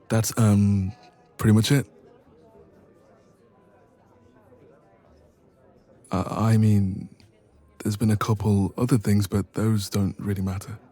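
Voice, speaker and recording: Male actor as bashful best friend, romance ASMR, lowish pitch, breathy husky tone, evasive, intimate conversational delivery. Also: mellow, lightly acted. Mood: flustered, evasive. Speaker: audio roleplay performer.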